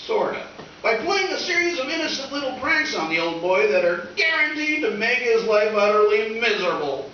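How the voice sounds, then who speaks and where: A man's voice: sits high, very animated, normal speed, sharp tone, exaggerated voice. A man playing a mischievous, grandiose teenage schoolboy with flowery, eager delivery in an old-time radio comedy. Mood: gleeful, mischievous. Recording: echoey stage from far off, dull, hissy.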